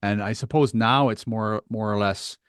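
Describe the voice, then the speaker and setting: A man's voice: on the low side, steady tone, moderate pace, smooth, no room sound, studio quality. A man hosting a podcast, interviewing in a casual, conversational way.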